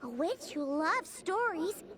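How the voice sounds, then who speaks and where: A woman voicing a small, childlike sprite in a video game, excitable and inquisitive, with a squeaky voice. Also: slow, a crowd in the background, exaggerated voice. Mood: curious. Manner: exclamatory, inquisitive. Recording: game audio under music.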